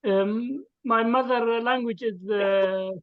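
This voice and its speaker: Slow, halting man. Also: very high-pitched, steady tone, smooth. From Syria. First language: Kurdish. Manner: halting, many fillers, laughs as he talks. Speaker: participant. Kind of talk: casual sharing on a video call. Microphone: video call. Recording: lo-fi video call audio.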